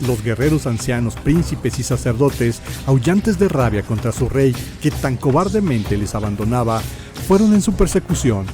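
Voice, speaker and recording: A man's voice: medium pitch, expressive, normal speed, music in the background. A man with a Mexican accent reading scripted historical narration in a solemn, theatrical, literary manner.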